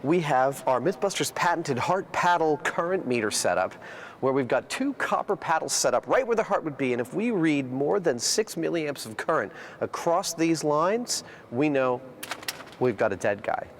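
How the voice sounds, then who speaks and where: A man's voice: on the high side, sing-song, moderate pace, a little hiss or hum. A man explaining an experiment on location at an outdoor testing site, in a matter-of-fact way. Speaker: co-host.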